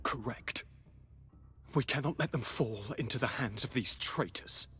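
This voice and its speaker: A man's voice: mid-range voice, measured pace, bright, forceful tone, breathy edge, full character voice. An actor playing a young nobleman governor in a fantasy game, dramatic and formal, with florid phrasing. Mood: relieved.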